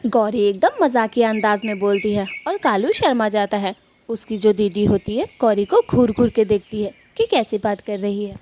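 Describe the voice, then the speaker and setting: A woman's voice: mid-range voice, normal speed, a little mellow. A woman telling a scripted cartoon story as its narrator.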